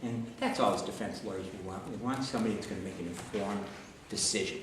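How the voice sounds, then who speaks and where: A man, a defense lawyer, speaking at a hearing in a relaxed, conversational way. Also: on the low side, quick pace. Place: large echoey hearing room. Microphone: recorded from far by a camcorder. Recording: echoey room, distant camcorder mic, some noise.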